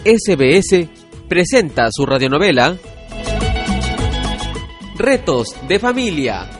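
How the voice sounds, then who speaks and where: A man's voice: a little high, very animated, slow pace. A man announcing a radio drama in a scripted broadcast style. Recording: music behind the voice. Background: music.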